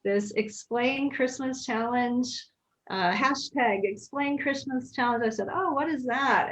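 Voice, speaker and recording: A woman's voice: medium pitch, poor audio. A woman hosting a casual video call chat, upbeat and friendly, with frequent fillers. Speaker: host.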